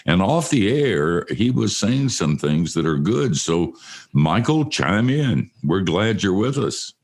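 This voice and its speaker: An older man with a deep voice hosting a casual studio chat, telling stories with self-deprecating humor. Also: very animated, takes it slowly. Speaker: host.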